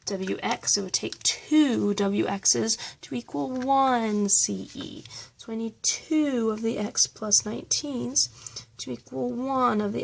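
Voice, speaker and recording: Gravelly-voiced woman math teacher. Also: fairly high pitch, a little hiss or hum. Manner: patient, step-by-step explaining. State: slightly hoarse, losing her voice. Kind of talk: recorded math review lesson.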